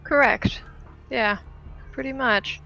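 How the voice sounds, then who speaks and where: A woman's voice: high voice, takes it slowly, vocal fry, pushed and bright, soft background music. A woman playing a tabletop roleplaying game in character, with a casual, sardonic, matter-of-fact manner. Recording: dull lo-fi voice chat sound.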